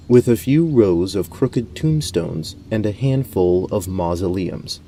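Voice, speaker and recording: A man narrating a scripted fantasy story in a measured, descriptive storyteller's manner. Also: lowish voice, warm tone, a little hiss or hum.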